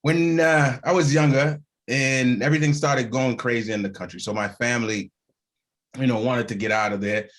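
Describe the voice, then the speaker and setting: A man's voice: medium pitch, normal speed. A man from Haiti, a guest actor, telling a story in a casual interview, laughing at himself and acting out the people he quotes. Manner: animated storyteller who acts out quoted voices and laughs at himself.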